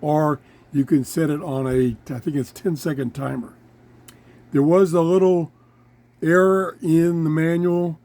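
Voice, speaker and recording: Man, slow pace, slightly high pitch, casual product review, halting piecemeal phrasing, show host. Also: creaky, a little mellow.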